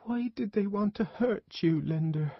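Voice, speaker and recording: A man's voice: very high voice, drawn-out words, dry recording, highs cut off, pitched above the performer's own voice, exaggerated voice. A man voicing a frightened little boy in an audiobook, pleading with his mother in a thin, strained, tearful voice. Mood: hurt, puzzled. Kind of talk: audiobook character voice.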